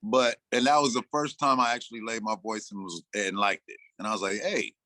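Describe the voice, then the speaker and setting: Guest: sixty-something man, bright forceful voice, dry close sound, loose storyteller who acts out his own lines. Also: fairly low pitch, fairly even intonation, brisk, no room sound. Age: 60s. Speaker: interview guest, rapper and producer. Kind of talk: casual interview talk.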